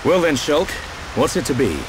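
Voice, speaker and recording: An actor playing a proud, battle-hardened swordsman in a video game, speaking in a measured, wry and resolute way. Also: a little high, lively intonation, background noise, natural voice. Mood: wry, probing. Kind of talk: video game cutscene acting.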